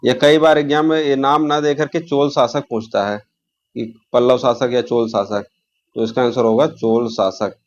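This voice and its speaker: A man's voice: mid-range voice, steady tone, normal speed, muffled recording. Man teaching an exam class. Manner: instructional, repeats key terms and asks rhetorical questions. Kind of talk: informal exam lesson, explaining questions.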